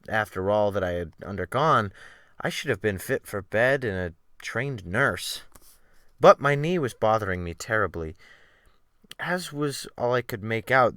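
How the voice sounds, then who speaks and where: Male narrator for classic fiction: theatrical, deliberate phrasing, animated intonation, medium pitch, dry close sound. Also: no room sound. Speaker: audiobook narrator. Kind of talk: dramatic reading of classic fiction.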